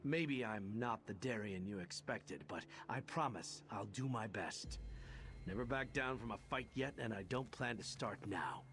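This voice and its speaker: A man's voice: on the low side, animated, measured pace, a bit bright. A man playing a good-natured, wry knight in a video game, easygoing and self-deprecating. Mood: humble, sincere. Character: wry, good-natured male knight who lost his powers. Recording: game music behind the voice.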